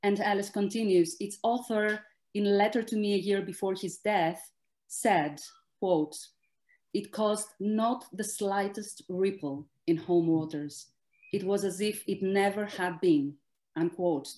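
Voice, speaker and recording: A woman whose first language is Greek gives a prepared academic talk in a measured, formal way, with frequent filler sounds. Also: medium pitch, warm tone. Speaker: academic speaker.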